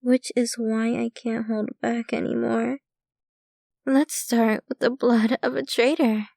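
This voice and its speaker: Woman acting a slow, sweetly possessive vampire girlfriend. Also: fairly high pitch, little pitch movement, creaky, a little mellow, no room sound, clean studio sound, heavily acted. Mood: musing, proud. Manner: sweetly possessive, teasing, unhurried, addressing the listener intimately. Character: possessive, doting, rich ancient female vampire girlfriend. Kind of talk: ASMR roleplay.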